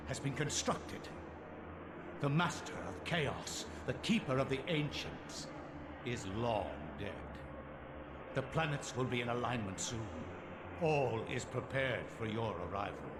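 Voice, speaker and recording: Video game villain: male actor as a grandiose dark sorcerer-servant, unhurried and ceremonial, echoing through heavy ambient noise. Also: medium pitch, lively intonation, close to an everyday voice. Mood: reverent, ominous. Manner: slow, grandiose, ceremonial delivery. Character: sinister male sorcerer servant reporting to his dark master.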